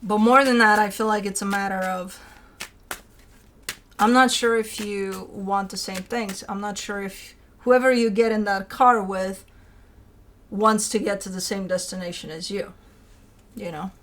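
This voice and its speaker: A woman with a mid-pitched voice reads tarot cards as host. She talks conversationally and loosely, leaning on key words. She often repeats short phrases and poses rhetorical questions. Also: fairly even intonation, a little slow, a bit bright, slight background noise. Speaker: tarot reader hosting her own show. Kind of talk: informal, rambling tarot card reading.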